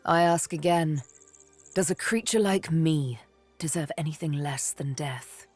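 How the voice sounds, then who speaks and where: A woman's voice: deep voice, unhurried, a little mellow, soft background music. A woman playing a cool, sardonic, vengeful elf assassin in a fantasy game, poised, wry and intimate. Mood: cold, vengeful. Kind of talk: fantasy video game acting.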